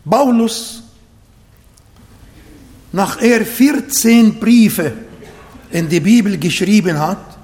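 A man with an Arabic accent preaching a sermon and praying, slow and emphatic, repeating phrases to his congregation. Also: high voice, darkish tone, in a large hall. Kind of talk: sermon with prayer. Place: church hall, livestreamed service.

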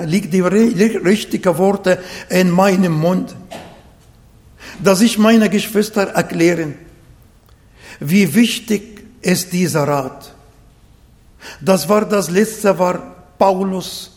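Man, Arabic accent, high voice, slow deliberate sermon, church hall with long reverb. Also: a little mellow. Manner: slow, emphatic, repeats phrases for the congregation. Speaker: preacher. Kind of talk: sermon with prayer. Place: church hall, livestreamed service.

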